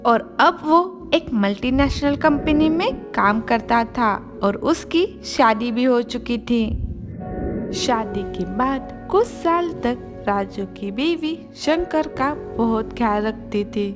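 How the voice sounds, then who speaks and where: A woman narrates a scripted children's tale. Her voice is fairly high and her pace is unhurried. Music plays clearly behind her. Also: animated.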